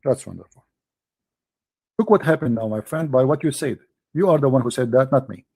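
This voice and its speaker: A man's voice: mid-range voice, brisk, gravelly, a little mellow, slightly rough, dry recording. A man hosting a religious debate, pressing his points insistently and repeating himself in nonstandard English. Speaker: debate host.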